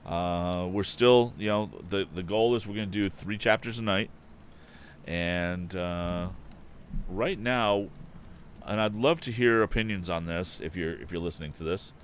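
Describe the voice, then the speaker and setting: A man's voice: sits low, unhurried, slight background noise. A man hosting a story stream, reading a book expressively and chatting casually between passages. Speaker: storytelling stream host, reader. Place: indoor live stream.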